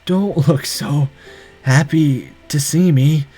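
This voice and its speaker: A man's voice: fairly high pitch, slow pace, mellow, husky, soft background music. A man voicing a dying young man in a visual novel, weary and tender, with a self-deprecating streak. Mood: weak teasing.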